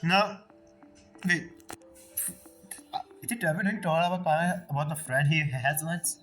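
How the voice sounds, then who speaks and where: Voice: medium pitch, unhurried, a bit bright. A game streamer with a non-native English accent, giving casual commentary and reading dialogue aloud. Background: background game music.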